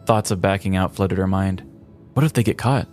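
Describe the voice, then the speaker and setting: A man host narrating a scripted comedic crime story with a wry, deadpan delivery. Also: sits low, moderate pace, faint music behind.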